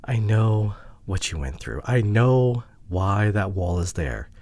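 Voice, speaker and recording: A young man playing a hurt, introverted boyfriend in an intimate ASMR audio drama, speaking haltingly in a hushed, breathy, vulnerable way. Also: on the low side, expressive, full character voice. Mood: wistful, hurt. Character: hurt, frustrated introverted boyfriend pleading with partner.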